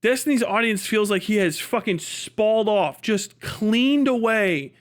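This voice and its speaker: High-pitched, blunt, profane American man ranting. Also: expressive, unhurried. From United States. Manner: emphatic, acts out mock dialogue. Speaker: livestream commentator. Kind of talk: unscripted livestream rant.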